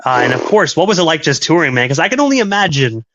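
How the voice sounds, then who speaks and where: A man's voice: a little high, big pitch swings, fast, gravelly, highs cut off, noisy background. A man hosting a casual radio interview, chatty and eager, asking long winding questions. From Canada. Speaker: radio host.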